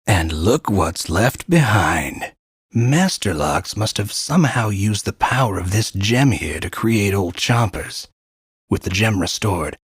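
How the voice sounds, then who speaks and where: An actor playing a folksy, wry mentor and guide in a fantasy video game, with a gruff, husky, drawling delivery. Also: male voice, low voice, no room sound, studio quality, exaggerated voice. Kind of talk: scripted game character narration.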